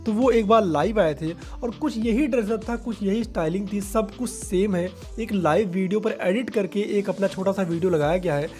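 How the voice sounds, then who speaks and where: High-pitched man presenting over music. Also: darkish tone. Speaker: YouTube entertainment news host. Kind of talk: explanatory commentary addressed to viewers. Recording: background music under voice.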